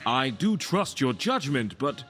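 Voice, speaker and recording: An actor playing a courteous, cautious and loyal resistance fighter in a video game, with formal speech. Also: male voice, mid-range voice, sing-song, unhurried, fairly bright tone. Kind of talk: video game quest dialogue. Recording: faint background music.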